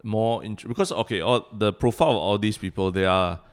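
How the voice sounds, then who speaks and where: A Singaporean man co-hosting a podcast, talking in a measured, agreeable way with frequent "lah". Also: on the low side. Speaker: podcast co-host. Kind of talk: casual chat.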